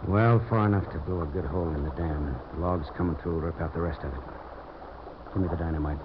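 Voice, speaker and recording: An actor playing a tough, terse adventurer who gives orders in a 1950s radio drama. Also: male voice, sits low, fast talker, lightly acted. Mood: tense, businesslike. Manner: terse, commanding. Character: tough, commanding male adventurer leading a dangerous job. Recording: muffled, noisy old radio broadcast recording.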